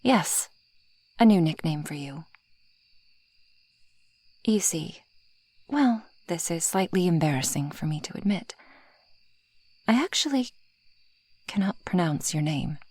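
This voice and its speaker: A woman voicing a possessive, proud female dragon in an ASMR roleplay, with formal, haughty phrasing and a breathy, husky voice that often drops toward a whisper. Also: fairly low pitch, animated, slow, long pauses, darkish tone, dry recording, exaggerated voice. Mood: possessive, smug. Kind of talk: ASMR roleplay addressed to the listener.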